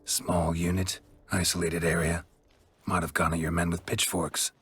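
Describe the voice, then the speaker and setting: Gruff deadpan monster hunter guy from a game, real low n gravelly, says little, music behind. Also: moderate pace, exaggerated voice. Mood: flat, wary. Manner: terse, deadpan, clipped sentences. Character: gruff, stoic middle-aged male monster hunter. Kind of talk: video game acting.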